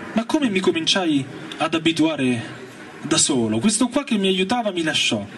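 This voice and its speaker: Male literary reader, high and very expressive, theatrical rhythmic delivery with pauses, backed by loud organ and guitar. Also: distant mic, slight background noise. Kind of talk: dramatic first-person novel reading over live music. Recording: voice off-mic under loud music. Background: loud electric organ and guitar music.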